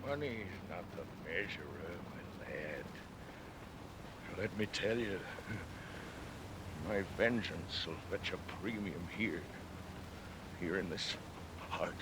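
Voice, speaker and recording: An actor playing an obsessed, vengeful old whaling captain in a classic radio drama, speaking in grandiose, archaic oratory. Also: male voice, low-pitched, lively intonation, slow pace, long pauses. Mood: obsessed, menacing. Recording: faint noise, old radio play recording.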